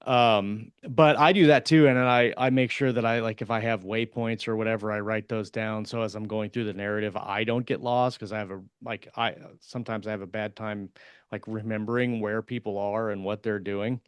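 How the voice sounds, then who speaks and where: A man's voice: on the low side, steady tone, a little fast, clear, resonant tone, dry recording, studio quality. A man co-hosting a writers' podcast, chatting casually in a loose way with lots of "like". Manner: loose, conversational, peppered with "like". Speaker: podcast co-host and fiction writer. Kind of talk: casual chat.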